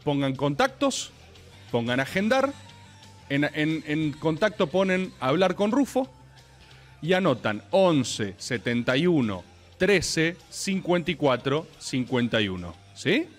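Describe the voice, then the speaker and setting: A man's voice: a little high, slow, faint music behind. A man in his thirties with an Argentine accent, hosting a casual streaming show and pressing his point over and over. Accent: Argentine Spanish. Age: 30s. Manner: animated, insistent, repeats himself. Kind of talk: casual banter.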